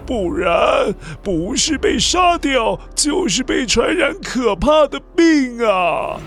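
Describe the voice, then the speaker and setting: A man's voice: very high voice, big pitch swings, slow pace, voice pushed up, exaggerated voice. A man voicing a fretful village man in a children's audio drama, anxious and wailing. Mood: wailing, panicky. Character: panicky, fretful male villager in old Taiwan.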